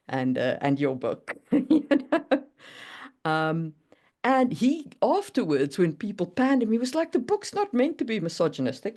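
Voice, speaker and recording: A woman chatting casually and opinionatedly, in an animated way, as on a writing podcast. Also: on the low side. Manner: animated, opinionated, laughs readily. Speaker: podcast guest discussing writing. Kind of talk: casual chat.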